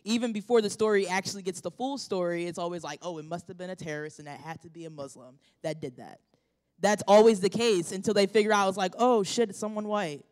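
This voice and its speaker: A student argues a point in a group discussion. The voice is smooth and clear. The speech rambles, with many fillers, and the points land with emphasis. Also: mid-range voice, fast talker, darkish tone. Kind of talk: casual spoken opinion.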